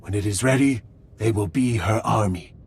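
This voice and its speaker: A proud alien zealot for a video game, with a husky voice. He declaims solemnly and formally, like one sworn to a cause, defiant and utterly loyal. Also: fairly low pitch, moderate pace, darkish tone. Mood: solemn, resigned.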